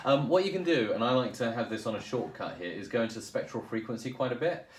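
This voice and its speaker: A man presenting a casual, hands-on audio software tutorial as a producer. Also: medium pitch, animated, fast. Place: indoor room, not a studio. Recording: roomy echo with noise and clicks.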